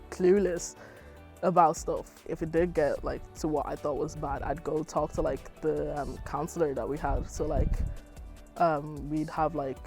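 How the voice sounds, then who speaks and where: A young Irish woman, an athlete, chatting casually about her running, full of "like" and lively retellings. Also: deep voice. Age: young adult. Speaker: athlete interviewee. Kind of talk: casual interview answers.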